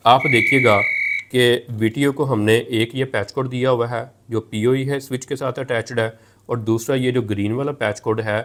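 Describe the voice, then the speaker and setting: A man presents a practical tech tutorial in a mid-pitched voice. He explains things step by step, often restating what he has just said to make it clear. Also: fairly bright tone. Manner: explanatory, repeats and rephrases each step. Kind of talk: step-by-step hands-on tutorial narration.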